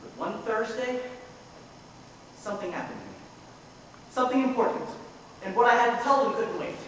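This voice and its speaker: A man's voice: very high-pitched, moderate pace, a bit bright. Young man playing an exasperated grandson in echoey hall. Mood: reflective narrating. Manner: projected, emphatic stage delivery. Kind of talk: amateur stage play acting. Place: theater stage, distant recording. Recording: echoey noisy hall, recorded from far off.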